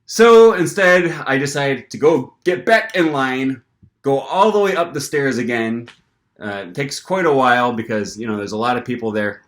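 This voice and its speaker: A young man vlogging casually, telling an animated story with lots of fillers and restarts. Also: mid-range voice, normal speed. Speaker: language-learning vlogger.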